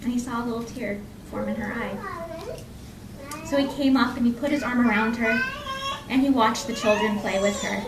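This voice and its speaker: A woman telling a folk tale live in an expressive, conversational way, lingering on repeated phrases. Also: on the high side, normal speed. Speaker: storyteller. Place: indoor library program before an audience. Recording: echoey room from far off, faint noise.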